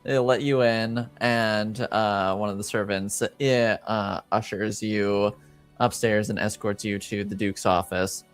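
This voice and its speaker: Male game master, measured and halting, smooth tone, unhurried improvised narration. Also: lowish voice, steady tone, soft background music. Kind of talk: unscripted tabletop game narration.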